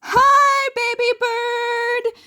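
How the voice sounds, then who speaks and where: A woman voicing an excitable, chatty older brother in an exclamatory, rambling way for a story. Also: extremely high pitch, extremely slow, no room sound, studio quality, pitched above the performer's own voice, heavily acted. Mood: excited.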